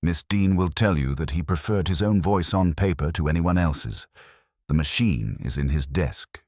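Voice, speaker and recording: A cool, unsentimental widow in a mystery audiobook, read by a man in his very low voice. She speaks in clipped, reserved phrases, close and dry on the recording. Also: moderate pace, darkish tone, no echo, lightly acted.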